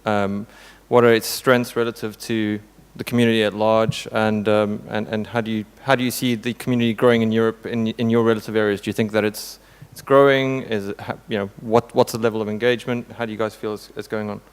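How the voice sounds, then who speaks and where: A man's voice: lowish voice, level tone, fairly quick, hardly any pauses, clear, resonant tone. A man moderating a conference panel in a casual, joking, informal way. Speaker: panel moderator, tech company CTO. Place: indoor conference hall. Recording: hall reverb from conference room sound system.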